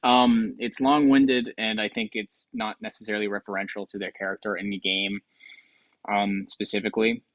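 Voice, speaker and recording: A man's voice: on the low side, flat delivery, moderate pace, fairly bright tone, no echo, rough recording. Offhand man giving dry-sounding game commentary. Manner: rambling, offhand. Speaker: host of a game commentary show. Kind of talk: casual improvised commentary.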